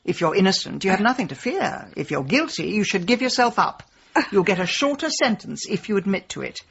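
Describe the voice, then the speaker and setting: A middle-aged British amateur sleuth in a radio drama. Her creaky voice moves freely up and down, dry, sardonic and forthright, in slightly dull sound. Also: mid-range voice, very animated, brisk, exaggerated voice. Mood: coolly provocative.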